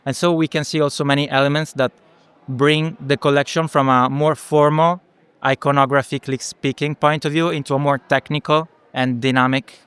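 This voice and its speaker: Young Italian male design student, smooth, fairly flat delivery, dry sound. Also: medium pitch, no echo. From Rome, Italy. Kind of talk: explaining own work in an interview.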